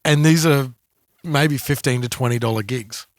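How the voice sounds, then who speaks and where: Male Australian co-host for casual podcast chat, average-pitched voice, no room sound. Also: normal speed, no echo, clean studio sound.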